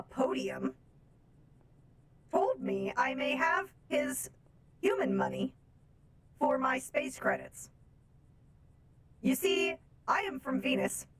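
A naive space robot from Venus played by a woman in an online game. The voice is high and swoops extremely widely in pitch. The phrasing is formal, stilted and very literal. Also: full character voice, low-quality recording. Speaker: streamer playing a roleplay game. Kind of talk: improvised game roleplay.

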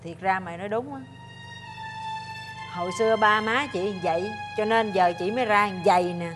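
A woman's voice: medium pitch, normal speed, music in the background, close to an everyday voice. A woman playing a bossy, short-tempered kidnapper in a comedy sketch, with a southern Vietnamese accent. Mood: taken aback.

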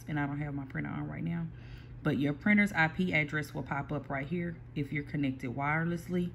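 A mid-pitched, easygoing voice explains a computer workflow one step at a time, pausing and restarting as it goes. It sounds picked up by a phone held toward a screen in an ordinary room. A faint hum of background noise sits under the speech. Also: creaky. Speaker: small print shop owner giving a software tutorial. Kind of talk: casual screen-recorded tutorial. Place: indoor workspace at a computer. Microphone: phone filming a computer screen.

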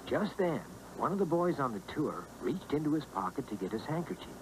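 Expressive man narrating a storybook. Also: a little high, a little fast, noisy background.

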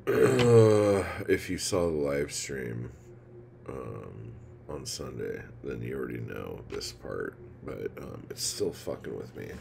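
A man in his forties vlogging at home, rambling and swearing as he vents his frustrations and low mood. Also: very animated, slow pace, vocal fry. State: feeling low and tired. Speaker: poet vlogger. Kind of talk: casual confessional vlog. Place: indoor room with ceiling fan. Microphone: phone camera held low, close. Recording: noisy phone recording.

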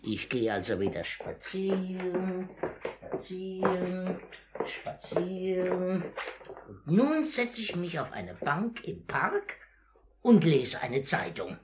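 A man's voice: sits high, big pitch swings, slow, a little hiss or hum, exaggerated voice. A man playing a sly, fawning old master thief, cackling and singsong, in a 1950s German radio drama. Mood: playful, sly. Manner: theatrical, cackling, repeats words in a singsong.

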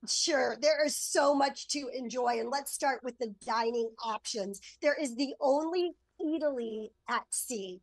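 A woman's voice: high-pitched, unhurried, few pauses, dry recording, rough recording. A middle-aged woman speaking enthusiastically as a travel expert, giving an upbeat promotional segment. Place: on board a cruise ship, remote link.

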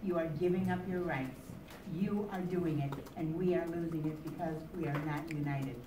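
An older woman with an American accent and a fairly low voice speaks in forceful declarations and wandering stories. She is picked up from across an echoey meeting room with clear background noise. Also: fairly even intonation, normal speed, recorded from a distance. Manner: forceful, declarative, rambling storytelling. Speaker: veteran community activist speaker. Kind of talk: personal public speech. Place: crowded library meeting room, at lectern. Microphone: camera in the audience, far off.

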